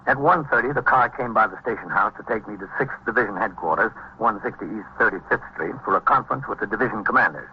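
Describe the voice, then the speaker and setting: A calm police lieutenant from an old radio procedural, played by a man, with a lowish American voice and a fast pace. He sounds clipped and matter-of-fact, on a muffled recording. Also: lightly acted. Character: calm, dutiful male police lieutenant, also narrating. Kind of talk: vintage police procedural narration and dialogue. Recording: dull, noisy vintage radio recording.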